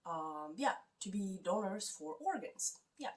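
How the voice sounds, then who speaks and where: A woman with a non-native English accent chatting casually about books she plans to read, pausing often with fillers. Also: mid-range voice, very animated, far from the mic. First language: not English. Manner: hesitant, many fillers. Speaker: book vlogger. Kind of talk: casual chat. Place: lives in Canada.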